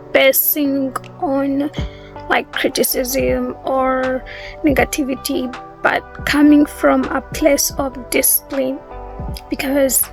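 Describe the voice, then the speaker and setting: Young female vlogger, Ugandan accent, high pitch, slow casual delivery over background music. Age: young adult. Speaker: YouTube vlogger. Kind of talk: casual talk to viewers.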